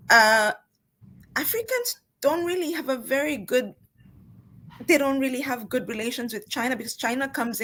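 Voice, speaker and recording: A woman's voice: fairly high pitch, fairly bright tone. A woman speaking as a guest in a casual conversation, feeling her way through answers and correcting herself as she goes. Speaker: guest author and journalist. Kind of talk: casual interview chat.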